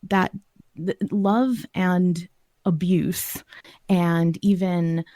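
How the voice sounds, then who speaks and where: A woman hosting a podcast discussion, reflecting and explaining her view in a measured way. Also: fairly low pitch, steady tone, dark tone, dry recording.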